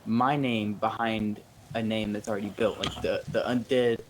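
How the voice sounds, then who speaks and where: Lowish halting man in game chat. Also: flat delivery. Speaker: roleplay game player. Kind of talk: casual online game chat. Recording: faint background noise on voice chat.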